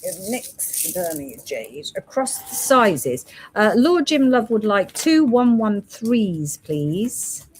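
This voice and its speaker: A woman's voice: medium pitch, big pitch swings, slow, slight background noise. A woman hosting a live stream selling gemstone beads, chatting casually and reading out product codes and prices. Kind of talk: casual live sales chat.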